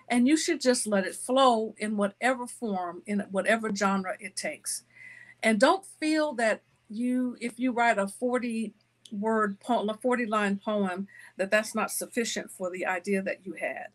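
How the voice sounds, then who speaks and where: A woman speaking as an author and interview guest, giving conversational answers with deliberate, emphatic phrasing. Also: on the high side.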